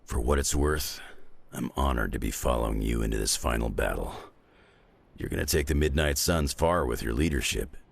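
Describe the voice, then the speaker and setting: A man playing a gruff, wry, battle-hardened hero in a video game, with a gravelly rasp. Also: a bass voice, lively intonation, moderate pace, no echo, full character voice. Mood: warm, gruff. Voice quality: gravelly, raspy. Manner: laconic, wry.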